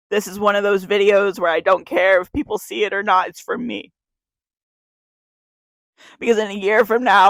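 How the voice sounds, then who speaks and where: A woman's voice: mid-range voice, a bit bright, no echo, rough recording. A 21-year-old woman, crying as she reads a confessional spoken-word poem to camera, with a repeating refrain. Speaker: YouTuber.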